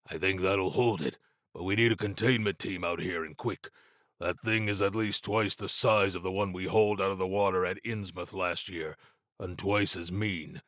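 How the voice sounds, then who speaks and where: A man's voice: low voice, fairly even intonation, normal speed, fairly bright tone, dry recording, clean studio sound. A man voicing a matter-of-fact, diplomatic male secret agent in an audiobook. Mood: urgent.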